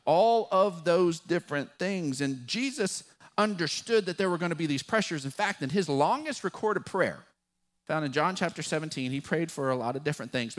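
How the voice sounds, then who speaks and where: A man with a West Texas drawl preaching a sermon as pastor, folksy and animated, with a touch of humor. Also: a little high.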